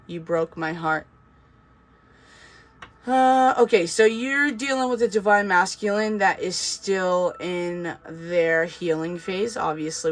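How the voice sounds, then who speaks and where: A man with a touch of a Boston accent talks through a tarot reading in a loose, chatty way, reassuring his viewers and circling back with fillers. His voice sits a little higher than most men's. A faint hiss of noise runs under the recording. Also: a bit bright. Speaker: tarot reader. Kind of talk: casual tarot reading monologue.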